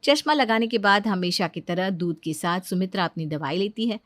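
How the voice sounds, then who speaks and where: A woman's voice: mid-range voice, moderate pace, a bit bright, dry recording. A woman reading scripted narration for a Hindi cartoon family story.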